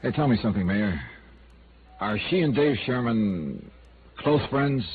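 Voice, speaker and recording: An actor playing a tough, probing insurance investigator in a radio noir drama, with a sardonic, clipped way of questioning. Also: male voice, medium pitch, animated, measured pace, breathy edge. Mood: probing, skeptical. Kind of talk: 1950s radio noir acting. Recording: tinny, noisy old radio recording.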